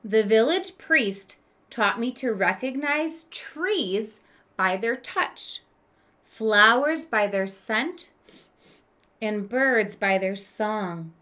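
A woman's voice: fairly high pitch, animated, drawn-out words, pushed and bright. A woman in her thirties reading a children's storybook aloud expressively, adding asides and questions for young listeners. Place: small room with bookshelf, indoors.

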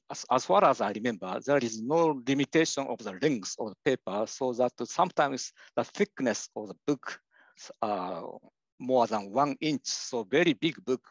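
Hesitant Japanese-accented older man, gravelly. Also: medium pitch, no echo. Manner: hesitant, many "uh" fillers and restarts. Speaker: university professor giving a lecture. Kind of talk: slide lecture. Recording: dull lo-fi dry recording.

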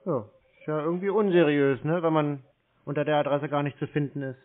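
Male scam-baiting caller, higher-than-average pitch, insistent and confrontational, thin telephone audio. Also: fairly even intonation, a little fast. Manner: insistent, challenging. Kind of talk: confrontational phone conversation. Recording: tinny narrowband phone line.

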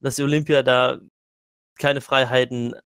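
A man's voice: mid-range voice, little pitch movement, measured pace, a bit bright, smooth, dry recording. A man in a casual gaming debate, arguing insistently and often stumbling over repeated words. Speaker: podcast panelist.

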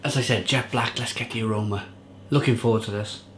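A man's voice: medium pitch, steady tone, fairly quick, a bit bright, reverberant, slight background noise. A man in his thirties chatting to camera at home in his kitchen, reviewing a beer with enthusiasm. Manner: chatty, enthusiastic, restarts and fillers. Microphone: fixed camera at table.

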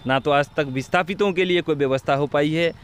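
A man's voice: on the high side, a little fast. A young man, explaining things in a field report recorded outdoors on a handheld microphone. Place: outdoors on a village roadside. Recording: faint outdoor road noise, passing vehicles.

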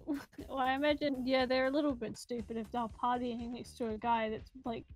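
A woman's voice: on the high side, flat delivery, normal speed. A woman chatting casually as a co-host during a live game stream. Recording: game music behind.

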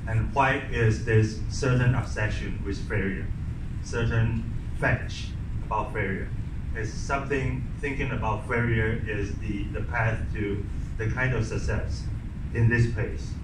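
A man's voice: fairly low pitch, fairly even intonation, measured pace, noisy background. A middle-aged man from Taiwan giving an unscripted conference talk on stage with a handheld mic, restarting sentences often and joking dryly. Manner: unscripted, frequent restarts and fillers, wry. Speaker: conference speaker. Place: large wood-paneled auditorium stage. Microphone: handheld mic, recorded by far camera. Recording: roomy hall audio from far off.

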